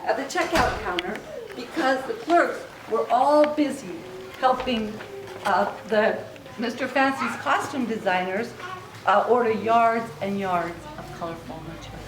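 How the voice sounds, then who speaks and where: A woman reading a story aloud to young children in an expressive way, with little asides to her listeners. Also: on the high side, measured pace. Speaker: children's author reading own book aloud. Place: book signing event in an echoey room. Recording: echoey room recording with clear noise.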